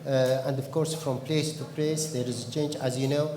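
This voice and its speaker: Halting man in echoey hall. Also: mid-range voice, level tone, mellow. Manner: halting, simple non-native English phrasing. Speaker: horse breeder on a panel. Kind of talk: panel discussion answer. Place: large conference hall. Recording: echoey, reverberant conference hall.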